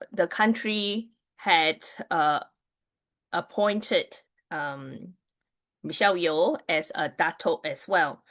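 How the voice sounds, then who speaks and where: A woman's voice: deep voice, fairly bright tone. A woman from Malaysia whose first language is Mandarin Chinese, hosting a casual livestream and chatting with viewers, with many fillers and restarts. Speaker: livestream host, Chinese astrology reader.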